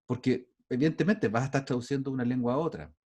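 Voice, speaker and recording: Husky Chilean man lecturing online. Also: mid-range voice, quick pace, a little mellow. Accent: Chilean Spanish. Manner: conversational, rambling with restarts. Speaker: university lecturer. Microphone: video call.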